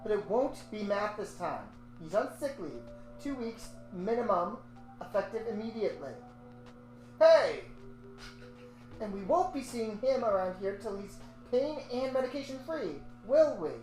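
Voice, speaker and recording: A woman's voice: mid-range voice, big pitch swings, measured pace, darkish tone. A woman voicing a stern police captain in a comic table reading, reading in a clipped, commanding way. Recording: echoey room with music.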